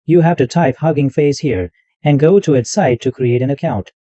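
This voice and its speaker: A man hosting a screen-recorded software tutorial, narrating every click step by step in loose, repetitive wording. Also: medium pitch, normal speed, no echo. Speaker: tutorial host. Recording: dull lo-fi dry mic sound.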